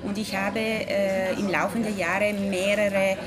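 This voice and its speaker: A woman in her thirties from Italy, a pianist speaking German with an Italian accent, presenting her concept at a press conference and often pausing with fillers while she searches for words. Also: medium pitch, flat delivery. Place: ornate café room with audience. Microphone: table microphone at a distance. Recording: room with a loud crowd.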